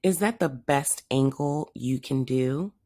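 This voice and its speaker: A woman's voice: a bass voice, slow pace, mellow, dry recording, studio quality, exaggerated voice. A woman voicing a mocking, menacing, easily bored male pet cat in a children's story. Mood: scornful. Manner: scornful, threatening.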